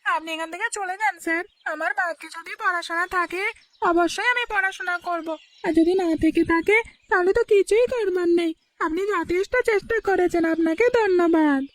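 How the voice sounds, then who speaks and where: A woman's voice: very high-pitched, brisk, no room sound. A woman voicing a polite, earnest village schoolboy who pleads respectfully in a cartoon. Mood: pleading. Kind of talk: cartoon voice acting.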